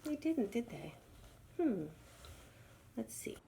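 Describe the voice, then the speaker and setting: A woman's voice: fairly high pitch, very animated, slow pace, gravelly, mellow, slight background noise. A woman reading a children's storybook aloud expressively. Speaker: storybook reader.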